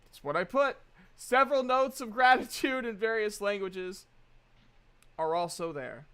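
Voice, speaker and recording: A woman's voice: medium pitch, a little slow, a bit bright, clear, resonant tone. A woman running a tabletop game, narrating casually with slangy, joking asides. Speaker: game master.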